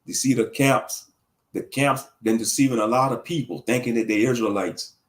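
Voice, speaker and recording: A man's voice: medium pitch, moderate pace. Rambling, slangy man on a livestream. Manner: loose, rambling, preachy, slangy. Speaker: livestream commentator. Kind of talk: casual livestream talk answering chat.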